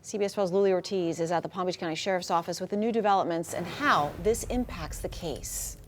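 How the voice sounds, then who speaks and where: A woman's voice: on the low side, fast, slight background noise. American woman anchoring TV news. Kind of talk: scripted news reading.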